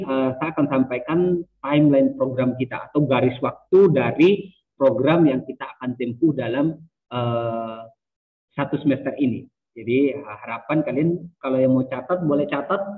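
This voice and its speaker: A young man from Sumba giving a relaxed university lecture on a video call, with frequent hesitations and fillers. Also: mid-range voice, normal speed. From Sumba, Indonesia. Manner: casual, many hesitations and fillers. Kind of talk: online lecture. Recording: distant, phone-like video call audio.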